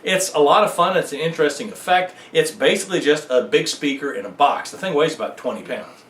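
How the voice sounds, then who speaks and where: A man's voice: a little high, fairly quick, fairly bright tone. A man giving a casual hands-on music gear tutorial in a conversational, lightly wry way. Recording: echoey room, faint background noise.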